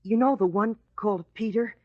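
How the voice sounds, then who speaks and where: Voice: medium pitch, normal speed, no room sound, lo-fi sound, close to an everyday voice. A performer playing a curious, respectful young boy in a radio drama, eager and questioning. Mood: earnest, admiring.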